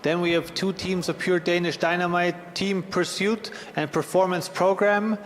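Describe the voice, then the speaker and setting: A man's voice: high voice, level tone, moderate pace. Man commentating in a big echoing hall. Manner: upbeat, joking, chatty with restarts. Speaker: event commentator. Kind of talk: live sports commentary. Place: large event hall. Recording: long reverb in a big hall, faint ambience.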